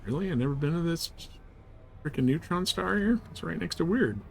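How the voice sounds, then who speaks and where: A man livestreaming a game, chatting casually in rambling, halting thoughts as he plays. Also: a little high, animated, vocal fry, soft background music, poor audio. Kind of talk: casual chat while playing. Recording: noticeable background noise and game sounds. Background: game ambience and ship computer announcements.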